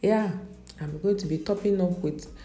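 A woman's voice: lowish voice, normal speed, mellow. Chatty woman in an echoey kitchen. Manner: chatty, with many fillers and self-corrections. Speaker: cooking vlogger. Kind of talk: casual meal-prep narration. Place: home kitchen.

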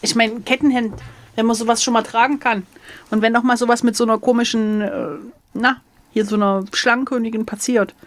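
A woman's voice: fairly high pitch, fairly even intonation, fairly quick, no room sound. A woman playing along with an adventure gamebook in casual, slangy chat, joking and sarcastic. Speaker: co-host and player.

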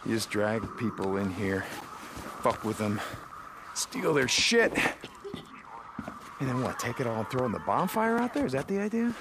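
An actor playing a gruff, blunt male biker drifter in a video game cutscene, swearing and giving hard orders. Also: medium pitch, sing-song, normal speed, pauses often, noisy background, lightly acted. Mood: mocking. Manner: blunt, profane, commanding. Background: loud game score music.

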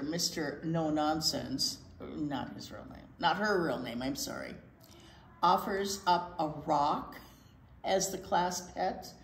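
An older woman reading a picture book aloud to children expressively, with chatty asides. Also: medium pitch. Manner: expressive, animated. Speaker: children's library storytime reader. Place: indoor library storytime room. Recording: echoey room with background noise.

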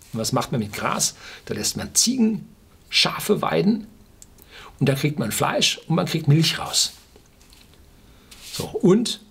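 Man in his 60s, from Germany, very husky rough voice, medium pitch, emphatic, opinionated video monologue. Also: a bit bright. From Ruhr area, Germany. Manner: emphatic, rhetorical questions, clipped asides. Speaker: video blogger. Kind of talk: opinionated informal explainer monologue to camera. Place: small furnished room indoors.

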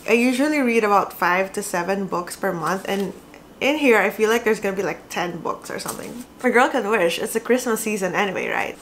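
A young Filipino woman chats to camera about her reading plans, her accent light and her tone giggly and animated. She sits at desk distance in a small, cozy room. A faint background hiss sits under the voice. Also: medium pitch. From Philippines. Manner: chatty and giggly, gestures a lot. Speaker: book vlogger. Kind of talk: casual to-camera book chat.

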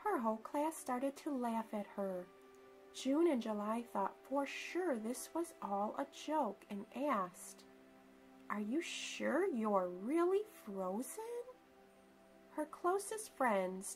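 A woman storyteller reading a children's picture book aloud, with expressive, deliberate emphasis for young listeners. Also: a little high, low-quality recording.

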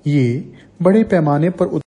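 A man narrating a scripted agricultural training film as a voice-over, reading slowly and evenly in formal wording. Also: on the high side. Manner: slow, even reading with formal Hindi wording.

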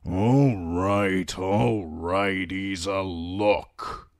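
A man voicing a grouchy, proud old man of a clan in a storybook, with a creaky, grumbling delivery. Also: deep voice, steady tone, extremely slow, no echo, studio quality, heavily acted. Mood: grudging. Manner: grumbling, impatient, snapping.

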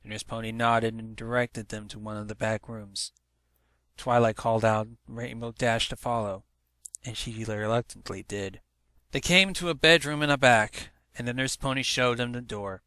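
A man's voice: mid-range voice, fairly even intonation, moderate pace, pushed and bright, clear, resonant tone, no room sound. A man reading a story aloud as narrator, in a dramatic storytelling manner. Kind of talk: scripted story narration, fan fiction read-aloud.

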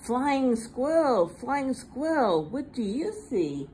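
A woman reads a picture book aloud for little children in a sing-song, repetitive rhythm. Her voice is soft-edged and mellow, with very lively swings of pitch. It is recorded indoors with faint room noise behind her. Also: slow pace, low-quality recording. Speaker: children's storybook reader. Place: indoors at a table.

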